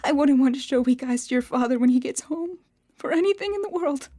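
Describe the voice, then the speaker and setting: Sorrowful mother; soft, restrained, warm-toned. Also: high-pitched, lively intonation, fairly quick. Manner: gentle, restrained. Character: grieving tender mother hiding tears. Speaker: Mrs. Cratchit.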